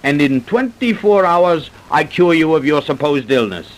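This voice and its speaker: An actor playing a greedy, self-assured doctor who persuades briskly and smoothly, in old-time radio drama style. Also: male voice, a little high, lively intonation, natural voice, rough recording. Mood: scheming, confident. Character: scheming, smooth-talking, greedy doctor plotting fraud. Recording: vintage radio broadcast recording.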